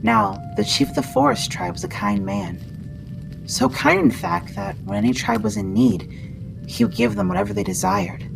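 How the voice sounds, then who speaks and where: Casting: actress as a caring female wendigo for ASMR roleplay, heavy vocal fry, gentle delivery, clear music bed. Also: medium pitch, big pitch swings, normal speed, rough recording. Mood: solemn storytelling.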